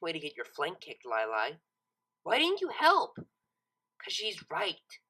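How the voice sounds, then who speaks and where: A woman's voice: lowish voice, huge pitch swings, takes it slowly, pushed and bright, slightly rough, full character voice. A woman voicing a fed-up young pony sidekick with a curt, scornful manner. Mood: venomous.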